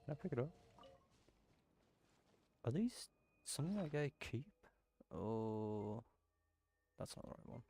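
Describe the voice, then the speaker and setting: A man's voice: medium pitch, very animated, measured pace, creaky, pauses often, mellow. A man giving casual commentary while playing a video game, muttering puzzled asides to himself. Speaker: gaming streamer.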